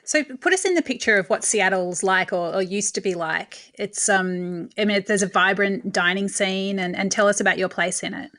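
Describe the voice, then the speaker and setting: Bright-voiced woman asking questions. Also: mid-range voice, normal speed, few pauses. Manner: prompting, enthusiastic questions. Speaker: podcast host. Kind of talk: interviewing.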